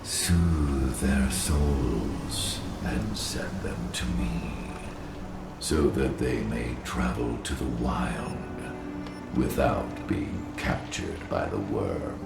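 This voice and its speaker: A man playing an ancient nature spirit in a video game, a solemn, benevolent guide speaking formally and deliberately in a gravelly, echoing voice. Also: heavily acted. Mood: grave request. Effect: deep echoing reverb. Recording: echoing, distant voice under music.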